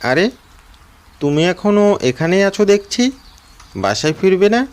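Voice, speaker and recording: A man's voice: sits high, big pitch swings, measured pace, darkish tone, clean tone, voice pushed up. A man voicing a poor, kindly woodcutter father in a cartoon story, homely, weary and dutiful. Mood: kindly, concerned.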